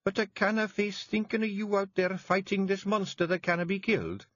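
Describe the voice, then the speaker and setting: A man voicing a small, fierce clan matriarch, sharp and commanding when she gives orders. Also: high voice, big pitch swings, unhurried, breathy edge, dry recording, lo-fi sound, voice pushed up, heavily acted. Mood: tearful.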